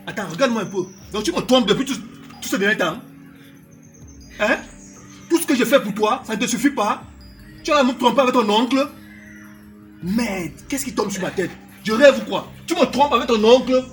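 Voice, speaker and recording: A man's voice: medium pitch, very animated, a little fast, faint music behind, lightly acted. A young man acting in a French screen drama as a devoted, anxious boyfriend who pleads and repeats his questions. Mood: shocked, furious. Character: devoted young boyfriend, anxious, tender but quick to outrage.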